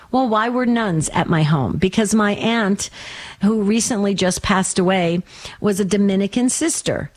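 An older American woman from New Jersey hosting a radio call-in show, talking informally and effusively, straight to her listeners. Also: medium pitch. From New Jersey, United States. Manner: effusive, conversational, direct address to listeners. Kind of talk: informal talk radio monologue.

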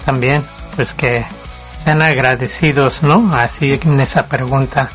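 A male radio co-host introducing a song segment in a measured tone. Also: mid-range voice, fairly even intonation, dull audio, soft background music.

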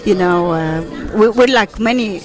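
A woman's voice: mid-range voice, measured pace, a little mellow. A woman with a French Canadian accent, a festival kitchen volunteer, talking proudly and searching for her words. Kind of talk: casual interview.

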